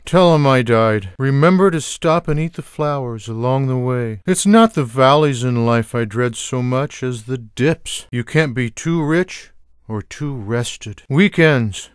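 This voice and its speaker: A man voicing a lazy, sarcastic, gluttonous cartoon cat with deadpan, wry delivery for a video game. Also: medium pitch, unhurried, smooth, no echo, studio quality, close to an everyday voice. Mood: deadpan, smug. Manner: deadpan, wry one-liners. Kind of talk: video game character voice clips.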